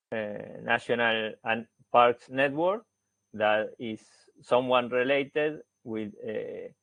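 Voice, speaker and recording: Argentine guy giving a science talk, slow and gravelly, lots of uhs, dry sound. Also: lowish voice, no room sound, phone-quality sound. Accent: Argentine Spanish. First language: Spanish. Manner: hesitant, many fillers and restarts. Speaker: researcher giving a seminar talk. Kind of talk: academic presentation.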